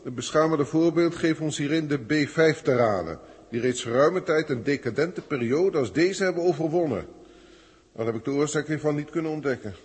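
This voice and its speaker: An actor playing a haughty, impatient alien official who talks formally and pompously in a 1960s science fiction radio play. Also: male voice, fairly high pitch, animated, normal speed, slightly rough. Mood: impatient, irritated. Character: haughty, impatient male alien senior proctor filing reports. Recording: echoey hall effect, old radio recording.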